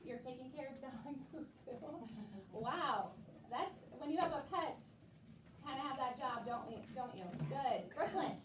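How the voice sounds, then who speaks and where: High-voiced woman teacher in an echoey room. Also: unhurried. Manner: encouraging, repeats pupils' answers back. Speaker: primary school teacher. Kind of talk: classroom discussion with young children. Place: classroom. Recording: echoey room, distant mic, clear background noise.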